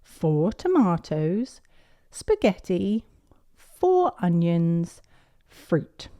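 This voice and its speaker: A woman's voice: on the low side, very animated, extremely slow, mellow, full character voice. A woman voicing a jolly, encouraging father pig from a children's storybook in a hearty manner. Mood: instructive.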